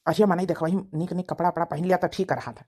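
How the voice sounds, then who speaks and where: A woman's voice: on the low side, fairly even intonation, quick pace, a little mellow, natural voice. A woman voicing a blunt, talkative village father with an Awadhi accent, fond of his daughter, in a cartoon comedy. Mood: fussing. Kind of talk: rustic cartoon comedy acting.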